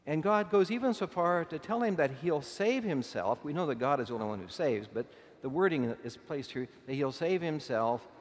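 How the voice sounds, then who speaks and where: A middle-aged male bishop preaches from the pulpit at an ordinary pitch. His delivery is conversational, but he slows down and leans on key words. His voice rings out into a long church reverb. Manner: conversational preaching, slow deliberate emphasis. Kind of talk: sermon.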